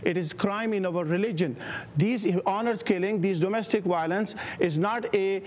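A man's voice: high-pitched, moderate pace, darkish tone, a little hiss or hum. A man in his sixties from Pakistan, an imam speaking emphatically on a televised panel, often repeating words. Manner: emphatic, repeats words, rising when interrupted. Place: remote studio with city backdrop. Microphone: lapel.

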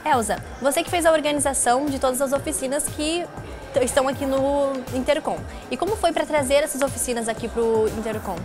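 A woman's voice: high voice, brisk, over music. A young woman with a Brazilian accent, hosting a news interview as a student reporter. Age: young adult. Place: glass-walled indoor lounge set.

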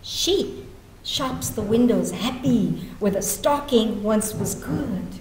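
A middle-aged woman from giving a dramatic, deliberate poetry reading. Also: fairly high pitch, lively intonation, a little mellow, a little hiss or hum. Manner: measured, deliberate phrasing. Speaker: poet. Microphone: lectern gooseneck microphone. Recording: echoey meeting room.

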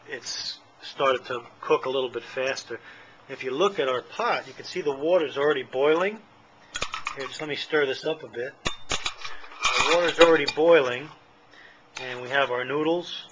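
A middle-aged man with a bright, forceful voice talks through a camp-stove demo at an outdoor table. He rambles in plain words, full of "uh" and "um", recorded on a handheld camera with a faint hiss underneath. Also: medium pitch, poor audio. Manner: rambling, plain-spoken, many fillers. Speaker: channel host. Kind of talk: casual product demo.

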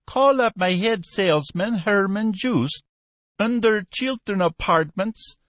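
Man doing a high-pitched, fussy shop owner. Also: a bit bright, no room sound, full character voice. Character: fussy, unhurried male art shop owner on the telephone.

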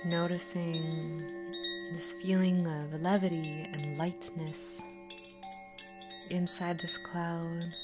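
A woman guiding a meditation in a soothing, unhurried manner, leaving long pauses between short instructions. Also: on the low side, little pitch movement, loud music behind the voice. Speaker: meditation guide. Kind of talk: guided meditation narration with slow instructions. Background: singing bowls and chimes.

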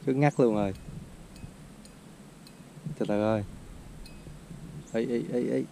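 Young Southern Vietnamese man, fishing vlog host outdoors, excited calls to his friend, faint background noise. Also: medium pitch, slow, creaky. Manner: excited, shouting instructions to a friend. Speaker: fishing vlogger. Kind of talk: casual fishing commentary. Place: outdoors by a forest lake.

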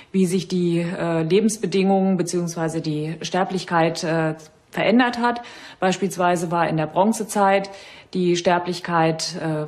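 A woman's voice: fairly low pitch, a little mellow. A woman anthropologist explaining as an expert interviewee, academic and somewhat hesitant.

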